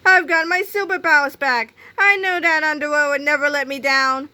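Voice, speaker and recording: Woman playing a loud, defiant, goofy superhero. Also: sits high, fairly even intonation, moderate pace, voice pushed up. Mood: triumphant. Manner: defiant, exclamatory. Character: defiant, goofy, good-hearted underpants-wearing superhero man.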